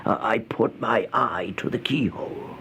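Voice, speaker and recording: An actor playing a starving, feeble, fearful vagrant in an old-time radio horror monologue, halting and stammering, with gasps and whispers. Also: male voice, on the low side, bright, forceful tone. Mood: hushed dread. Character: starving, feeble, once-proud male vagrant turned housebreaker, haunted and fearful. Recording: noisy old radio drama recording.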